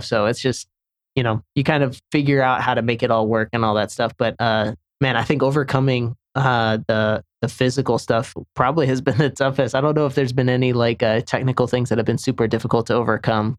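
A man's voice: mid-range voice, no echo. A young man from Senegal, a camera assistant chatting casually on a podcast, rambling with many restarts.

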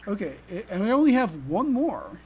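Wry woman with a medium-pitched voice. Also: big pitch swings, moderate pace, darkish tone, slightly rough, low-quality recording. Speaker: podcast co-host. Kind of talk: casual fan podcast chat.

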